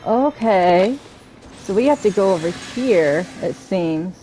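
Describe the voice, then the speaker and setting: A woman's voice: mid-range voice, expressive, slow, mellow, a little hiss or hum, rough recording. A woman giving casual let's-play commentary, thinking aloud as she plays and talking to the game's characters. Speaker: gaming streamer narrating her play.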